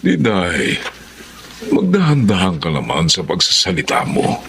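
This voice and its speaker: A man playing a folksy, chiding middle-aged father in a Filipino radio drama, unhurried and expressive, with a Filipino accent. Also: low-pitched, slight background noise, exaggerated voice.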